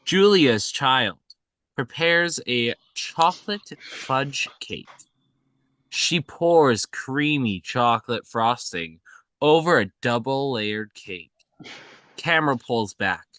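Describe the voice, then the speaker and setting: A man reading out screenplay action at a table read in a halting way, often stumbling and correcting himself. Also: medium pitch, expressive, a bit bright, dry recording. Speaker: reader of stage directions. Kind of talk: scripted narration at a table read.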